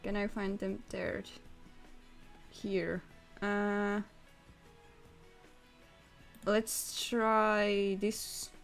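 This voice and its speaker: A woman's voice: mid-range voice, level tone, drawn-out words, pauses often, faint music behind. A young woman streaming live and chatting casually, giggling and laughing often as she talks.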